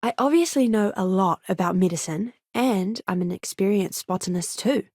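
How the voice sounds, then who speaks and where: A woman playing a polite, earnest, friendly young spaceship medic in a video game, expressive in her delivery. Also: medium pitch, moderate pace, no echo, clean studio sound, close to an everyday voice. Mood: cheerful, curious. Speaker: ship's doctor and botanist.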